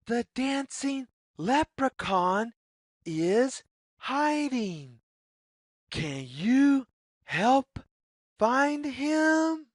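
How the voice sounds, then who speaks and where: Very slow, high-voiced woman reading to children. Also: no room sound, clean studio sound. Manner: deliberate phrasing with questions to the listener. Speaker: children's read-aloud narrator. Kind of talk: slow read-aloud for young children.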